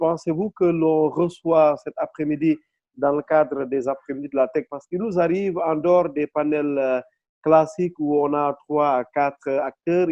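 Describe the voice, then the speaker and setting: A man from Senegal hosting an interview, speaking formally and measured, with frequent fillers. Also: on the high side, dry recording, muffled recording. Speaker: host.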